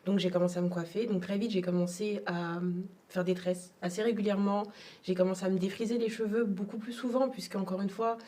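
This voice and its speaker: A woman's voice: medium pitch, steady tone, fast, mellow, distant mic. A young adult woman from Togo, telling her personal story as an interview guest in a reflective, hesitant way. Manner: reflective, repeats words, frequent hesitations.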